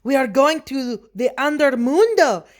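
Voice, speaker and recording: A woman voicing lively little male Chihuahuas in a storybook, speaking emphatically and peppering in Spanish words. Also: high-pitched, measured pace, voice pushed up. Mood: emphatic.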